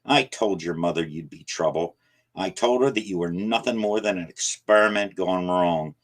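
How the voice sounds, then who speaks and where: A man's voice: low voice, normal speed, lightly acted. A man voicing an ancient, malicious shadow spirit that gloats and threatens. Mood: gloating. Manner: gloating, menacing.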